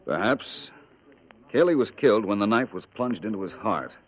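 An actor playing a shrewd, self-assured detective with an American accent, speaking in a measured, dry way in 1950s radio drama style. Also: male voice, fairly low pitch, expressive. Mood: dry, analytical. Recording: muffled old radio drama recording.

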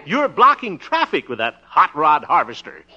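Blustery, swooping small-town fellow. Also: male voice, on the high side, huge pitch swings, moderate pace, over a noisy crowd. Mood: exasperated. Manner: blustering, theatrical, chuckling. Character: blustery, exasperated middle-aged man, sarcastic small-town everyman. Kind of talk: 1950s radio sitcom acting. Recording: noisy, muffled 1950s radio recording.

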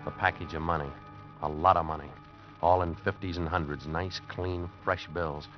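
Give the part to a man acting a cynical, down-on-his-luck drifter with a low, hard-boiled voice. He tells his tale wryly, in old radio adventure style. The sound is muffled and vintage, with music behind. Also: steady tone, normal speed, close to an everyday voice. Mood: weary, intrigued. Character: world-weary cynical broke actor narrating his story. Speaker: actor in a radio drama. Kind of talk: 1950s radio adventure drama narration.

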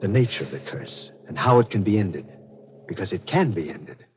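A man's voice: lowish voice, lively intonation, moderate pace, a little hiss or hum, lightly acted. A man acting in a 1940s radio mystery as an earnest, urgent young American sweetheart, melodramatic and emphatic. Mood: earnest reassurance. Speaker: radio actor. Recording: muffled old radio recording.

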